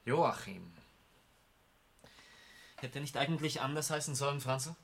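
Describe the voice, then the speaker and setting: An actor playing an embittered, restless war veteran, emphatic and formal, in a radio play. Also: male voice, mid-range voice, animated, unhurried, creaky, fairly bright tone, heavily acted. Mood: curious, intent. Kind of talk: German radio play acting.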